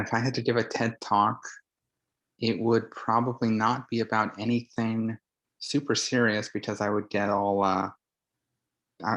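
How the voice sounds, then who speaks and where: A man's voice: on the low side, fairly bright tone, poor audio. A man introducing himself casually in an informal, self-deprecating manner. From Charlottesville, Virginia. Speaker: meeting participant, software engineer.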